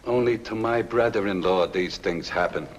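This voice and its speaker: An actor playing a long-suffering trucking boss who complains with mournful sarcasm in a 1950s film. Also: male voice, on the low side, normal speed, muffled recording, lightly acted. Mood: aggrieved, mournful. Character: grumbling, long-suffering trucking boss, exasperated with his brother-in-law. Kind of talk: 1950s film comic character acting. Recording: noisy, reverberant old film soundtrack.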